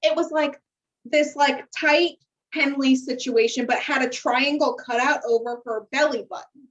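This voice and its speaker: A woman's voice: high voice, steady tone, unhurried. An American woman co-hosting a podcast in casual, emphatic chat peppered with slang. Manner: emphatic, uses swearing and slang. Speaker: podcast co-host. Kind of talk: casual chat about a TV dating show.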